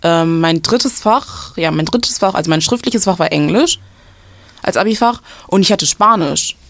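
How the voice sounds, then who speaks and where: A woman's voice: fairly low pitch, moderate pace, no room sound. A young woman from western Germany giving casual school advice, explaining at length with many fillers and restarts.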